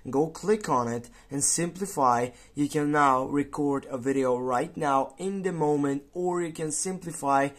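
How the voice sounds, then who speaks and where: A tutorial host giving step-by-step narration, with halting, non-native English phrasing. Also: mid-range voice.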